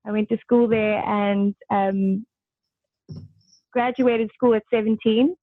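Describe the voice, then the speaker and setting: A woman's voice: mid-range voice, steady tone, slow pace, dry recording. A young South African woman from Durban, a guest chatting on a video call, talkative with lots of laughs and fillers. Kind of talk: casual video-call chat. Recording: dry tinny call audio.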